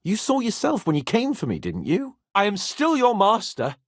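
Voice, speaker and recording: Casting: a young nobleman in a medieval game, lordly and loyal, lively intonation, rough-edged voice. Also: sits high, normal speed, no echo, clean studio sound, full character voice. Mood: indignant, commanding. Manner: expressive, lordly, exclamatory. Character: spirited young nobleman in medieval Bohemia, haughty yet loyal. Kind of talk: dramatic video game voice acting.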